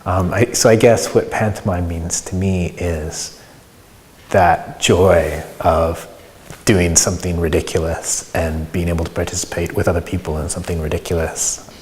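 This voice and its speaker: Interviewee: American man, very low voice, thoughtful conversational delivery, hall reverb. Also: steady tone, moderate pace, a little mellow. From United States. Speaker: community theatre participant. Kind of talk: reflective interview talk.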